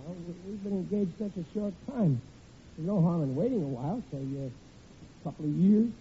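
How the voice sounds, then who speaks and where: An actor playing a hapless, evasive working-class American groom in 1940s radio comedy style, stammering and stalling with folksy grammar. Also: male voice, sits high, moderate pace, warm tone. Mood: flustered evasive. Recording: muffled, faintly noisy old radio recording.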